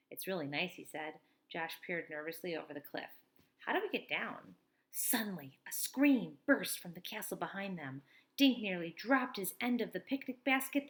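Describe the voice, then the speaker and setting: A woman in her thirties, a teacher reading a children's chapter book aloud from home into a webcam, with lively read-aloud delivery and quick asides. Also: medium pitch. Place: small room at home. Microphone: webcam at desk.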